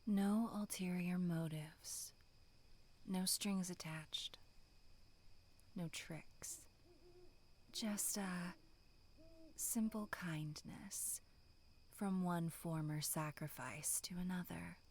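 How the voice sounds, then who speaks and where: A woman voicing a bloodthirsty female demonic monster in a breathy, menacing whisper for a horror ASMR roleplay, sinister yet tender. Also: on the low side, a little mellow, full character voice. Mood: quietly persuasive. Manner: slow, drawn-out, menacing whispering.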